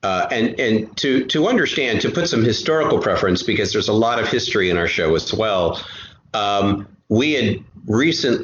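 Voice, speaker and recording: A man guest in an interview, explaining earnestly and often repeating words as he goes. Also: lowish voice. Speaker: guest, co-creator of an audio drama podcast. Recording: roomy, dull, noisy amateur recording.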